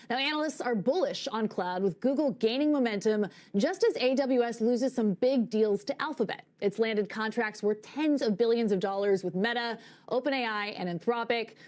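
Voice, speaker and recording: A woman with a fairly high voice gives a broadcast business report. She speaks in scripted, structured sentences as a news reporter. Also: darkish tone.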